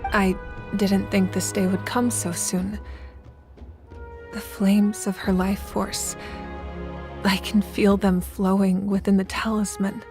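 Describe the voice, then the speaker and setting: An actress playing an authoritative female leader in a video game, measured and assured, with a friendly side. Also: mid-range voice, flat delivery, over music, close to an everyday voice. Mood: wistful, tender. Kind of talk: video game character acting.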